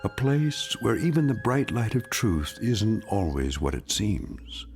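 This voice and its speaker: An actor playing a grave, ominous storyteller, narrating a radio drama in a deliberate, portentous way. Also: male voice, lowish voice, big pitch swings, a little mellow. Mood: ominous, knowing. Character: grave, ominous male storyteller introducing eerie tales. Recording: faint music behind.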